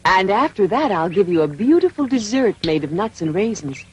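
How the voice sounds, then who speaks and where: A woman plays a motherly, kindly cartoon lady with expressive intonation. She speaks in a generous, coaxing way, on an old dubbed soundtrack with slight noise. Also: medium pitch, normal speed, lightly acted.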